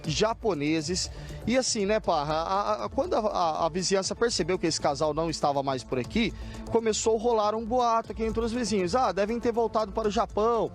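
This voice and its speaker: A man's voice: high-pitched, a little fast, soft background music. A Brazilian man reporting live for TV news from a city street, describing the scene as he points things out. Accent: Brazilian Portuguese. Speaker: field reporter.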